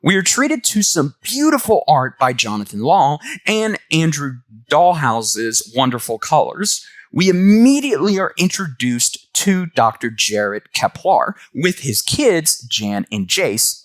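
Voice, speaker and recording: A man's voice: a little high, big pitch swings, takes it slowly, hardly any pauses, dry recording. A man hosting a comic book review, giving enthusiastic, semi-scripted commentary.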